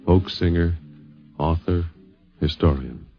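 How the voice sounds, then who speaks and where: A man's voice: low-pitched, takes it slowly, vocal fry. A man announcing formally from a script on 1950s radio, with plucked guitar music behind him. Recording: dull 1950s radio recording.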